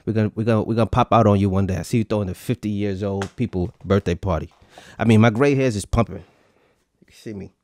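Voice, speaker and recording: A man's voice: sits low, a little mellow, dry recording, studio quality. A man in his thirties hosting a podcast live, ranting casually to viewers with lots of swearing and comic repetition. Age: 30s. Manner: profane, swaggering, repeats lines for comic effect. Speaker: podcast host.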